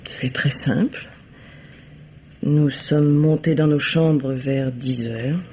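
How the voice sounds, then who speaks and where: An actress playing a composed, coolly bitter middle-aged widow in a French radio mystery play, speaking formally and deliberately. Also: female voice, low voice, steady tone, dark tone, slight background noise. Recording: dull, lo-fi vintage radio recording.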